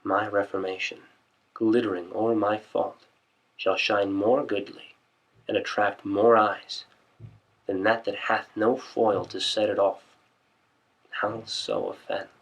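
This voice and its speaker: Guy acting young shakespeare prince, proud measured soliloquy, bright confident voice. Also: lowish voice, level tone, heavily acted, low-quality recording. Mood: proud, scheming.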